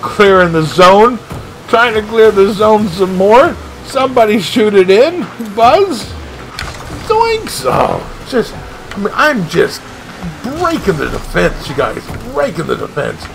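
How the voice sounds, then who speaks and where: A man streaming a video game live, giving excitable play-by-play with shouted catchphrases. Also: sits high, slow pace, gravelly, background noise. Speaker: gaming streamer. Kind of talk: live gameplay commentary.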